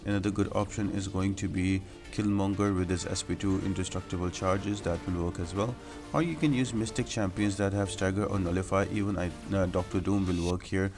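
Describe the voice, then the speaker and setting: Low-voiced man giving a game walkthrough. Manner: instructional, explanatory. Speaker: gaming guide host. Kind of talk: video game walkthrough commentary.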